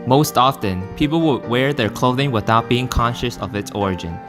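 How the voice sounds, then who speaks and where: A man's voice: medium pitch, moderate pace. A student man reading a scripted narration in an earnest, read-aloud way. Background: music.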